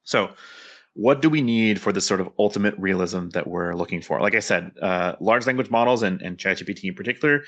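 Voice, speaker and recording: A man speaks as a presenter at a technical talk. His voice sits low but has a bright, forward edge. He explains in an informal, conversational way at a brisk clip. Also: muffled recording. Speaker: conference speaker, developer and pilot.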